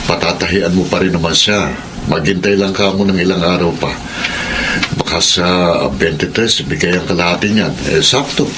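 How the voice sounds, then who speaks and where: A low, deep-voiced middle-aged janitor father played by a man, patient and hopeful in radio drama style. Loud noise runs behind his easygoing talk. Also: moderate pace, natural voice. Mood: reassuring. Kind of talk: Filipino radio drama acting.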